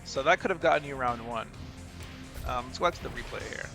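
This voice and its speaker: A man's voice: mid-range voice, brisk. A man giving casual, unscripted coaching commentary on a game replay, thinking aloud in an informal, hesitant way and repeating himself. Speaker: gaming video creator giving match analysis. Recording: game music and battle sounds behind voice. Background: loud fighting game music and combat effects.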